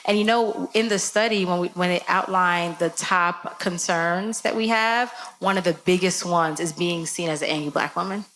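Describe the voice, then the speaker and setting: A woman with a slightly low voice talks candidly as a panel guest. Her delivery is casual and conversational, voicing quoted lines, with clear noise from the live room behind her. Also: moderate pace, hardly any pauses, low-quality recording. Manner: candid, animated, acts out quoted dialogue. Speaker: panel guest who works in media. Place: conference stage with live audience.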